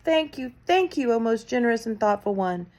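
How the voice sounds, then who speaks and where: A woman's voice: fairly high pitch, expressive, moderate pace, darkish tone, voice pushed up, natural voice. A chorus of very old witches, as a woman reader puts them on, gush their thanks in a raised, lilting voice. They sound fawning and eager to please. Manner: grovelling, gushing.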